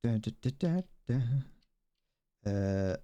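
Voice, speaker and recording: A man's voice: fairly low pitch, animated, takes it slowly, warm tone, clear, resonant tone, dry recording. A young man streaming as he builds a model kit, chatting casually, rambling, correcting himself and stumbling over his words.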